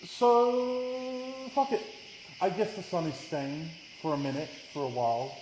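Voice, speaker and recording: A British man performs his own spoken-word poems at a live event, with a theatrical, deliberate storytelling delivery and dramatic emphasis. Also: high voice, steady tone, darkish tone. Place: live at a festival event in a room. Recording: roomy, echoey live event, background noise.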